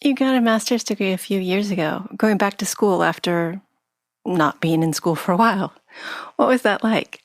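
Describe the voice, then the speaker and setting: A woman's voice: medium pitch, moderate pace, no echo, studio quality. A woman co-hosting a podcast, asking questions in a playful, easy-laughing way. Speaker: podcast co-host. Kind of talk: casual interview chat.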